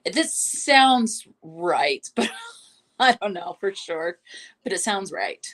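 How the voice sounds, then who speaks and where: A woman's voice: medium pitch, expressive, slow, a bit bright. A woman co-hosting a casual book discussion, speaking in a tentative, reflective way. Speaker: co-host.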